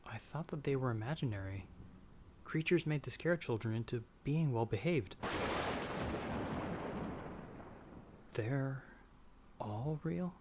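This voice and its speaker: A man playing a timid young traveler in an ASMR audio roleplay, speaking softly and hesitantly. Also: medium pitch, animated, normal speed, natural voice. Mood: curious, uneasy. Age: young adult. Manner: soft, hesitant, stammering when afraid. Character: timid young male traveler, storyteller trapped by a vampire. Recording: background storm noise.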